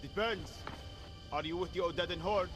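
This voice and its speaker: Wounded shepherd, guarded, terse, dry wit, audio game, faint music underneath. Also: male voice, sits high, moderate pace, a bit bright, low-quality recording. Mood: guarded, wry.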